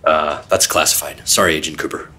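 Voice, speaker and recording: An actor playing a secretive, world-weary 1950s government agent, terse and deadpan, narrating an old-time radio drama. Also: male voice, extremely low pitch, a little fast, full character voice. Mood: weary, reflective. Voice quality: husky. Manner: terse, deadpan, hard-boiled.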